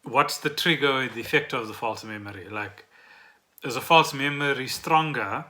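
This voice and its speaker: A man's voice: mid-range voice, moderate pace, sharp tone. A middle-aged South African man co-hosting a podcast, chatting casually and asking questions. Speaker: podcast co-host.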